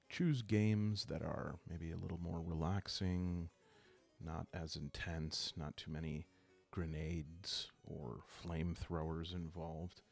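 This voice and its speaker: A man speaking calmly and soothingly in an unhurried way, hosting a relaxing role-playing series meant to help listeners fall asleep. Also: deep voice, vocal fry. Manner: calm, unhurried, soothing delivery. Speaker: host of a solo role-playing video series. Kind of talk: calm, sleep-aid ASMR narration to viewers. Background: background music.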